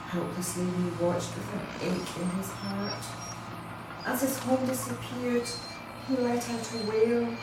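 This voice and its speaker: A woman reading a rhyming children's picture book aloud in an expressive, theatrical storytelling manner. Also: on the high side. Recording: echoey room, distant mic, faint noise.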